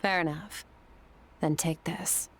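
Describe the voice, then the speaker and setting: A woman's voice: sits low, steady tone, takes it slowly, background noise. A woman voicing a stoic, battle-hardened young warrior in a video game, blunt, cool and matter-of-fact in delivery. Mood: brusque. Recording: music behind the voice.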